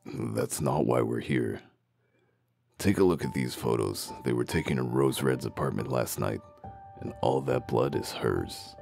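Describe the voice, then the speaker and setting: A man acts out a gruff comic-book wolf detective with a rumbling, bottom-of-the-range voice full of fry. He is foul-mouthed and blunt, interrogating like someone already convinced of guilt. Faint music plays underneath. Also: unhurried, darkish tone, heavily acted. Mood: gruff, businesslike. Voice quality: very deep, gravelly. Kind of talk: comic dub voice acting.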